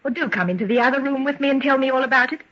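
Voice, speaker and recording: A woman's voice: sits high, racing. An actress playing a well-bred, adoring young society woman, eager and coaxing, in an old-time radio drama. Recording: dull, lo-fi vintage radio recording.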